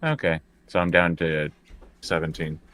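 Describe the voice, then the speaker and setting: A man with a deep voice takes part in a tabletop role-playing game as a player. His talk is casual and a little halting, often feeling its way through questions about what he can do. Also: steady tone, fairly bright tone.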